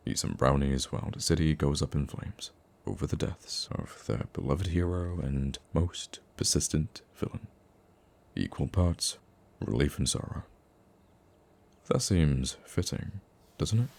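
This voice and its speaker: A man playing a gruff, teasing supervillain with a secret soft side in an intimate ASMR roleplay, dry and grumbling but affectionate. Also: exaggerated voice. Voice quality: very deep, gravelly.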